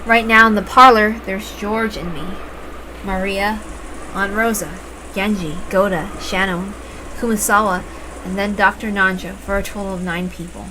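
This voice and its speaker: A woman reading a visual novel aloud as expressive first-person story narration, with emphatic openings to phrases. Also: medium pitch, moderate pace. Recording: noticeable background noise.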